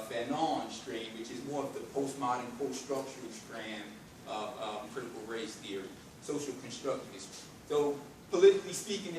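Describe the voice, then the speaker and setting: A man from Haiti giving an academic conference talk, halting with many filler words and rising to emphatic points. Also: medium pitch. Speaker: conference presenter. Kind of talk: academic lecture. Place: university conference room. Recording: very echoey room from far off, background noise.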